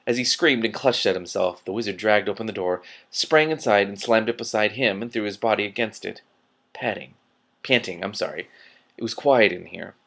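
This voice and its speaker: A man reading a story aloud in an animated, expressive way, correcting himself and adding his own commentary. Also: on the low side, normal speed, gravelly. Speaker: reader and commentator.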